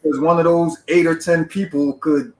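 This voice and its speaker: A man's voice: a little high, fairly even intonation, normal speed. A man hosting a podcast interview, giving emphatic advice and circling back to the same points. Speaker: podcast host. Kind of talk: conversational interview.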